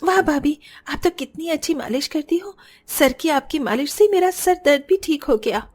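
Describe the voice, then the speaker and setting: Woman acting a very high-pitched young cartoon sister-in-law. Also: animated, moderate pace, darkish tone, soft background music. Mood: affectionate. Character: kind, protective young sisters-in-law and their gentle young student sister-in-law. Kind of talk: Hindi cartoon story acting.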